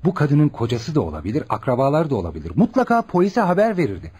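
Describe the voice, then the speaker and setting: A man's voice: fairly high pitch, huge pitch swings, fast, a little mellow, breathy edge, exaggerated voice. An actor playing an earnest, deferential junior male doctor in a radio drama, explaining his reasoning with emphasis. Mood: earnest, indignant.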